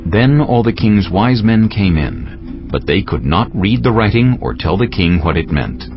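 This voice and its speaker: A man's voice: on the low side, sing-song, a little slow. A man narrating scripture as a scripted dramatized audio production. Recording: dull lo-fi audio with faint music.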